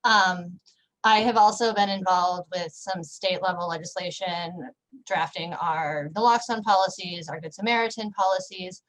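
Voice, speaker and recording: A woman's voice: lowish voice, fairly even intonation, moderate pace, fairly bright tone, low-quality recording. Candid, self-deprecating woman panelist. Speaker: harm reduction worker and panelist. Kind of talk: online panel introduction.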